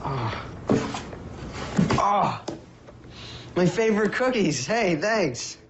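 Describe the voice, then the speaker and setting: Easygoing college student, slightly muffled. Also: male voice, high-pitched, big pitch swings, extremely slow, slight background noise, exaggerated voice. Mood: grateful. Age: young adult. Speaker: actor in a TV film. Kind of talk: naturalistic film dialogue. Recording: dull band-limited sound, music behind.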